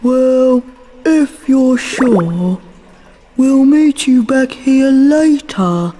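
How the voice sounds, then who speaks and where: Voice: sits high, lively intonation, very slow, warm tone, heavily acted. An actor playing a kindly, earnest schoolboy wizard hero in a video game, polite and hesitant. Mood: concerned.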